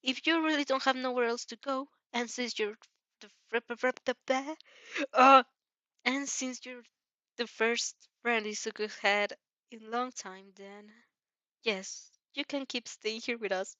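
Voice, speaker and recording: A woman's voice: a little high, fairly even intonation, measured pace, sharp tone, no room sound, pitched above the performer's own voice. A woman voicing a kind, worried mother who is hesitant but caring. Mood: relenting, gentle.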